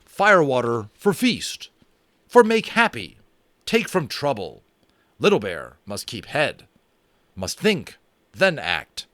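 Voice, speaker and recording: A man voicing a stern, tiny warrior chief from centuries ago, speaking in clipped, deliberate broken phrases. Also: mid-range voice, sing-song, fairly bright tone, no room sound, voice pushed up. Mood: firm. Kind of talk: audiobook character voice.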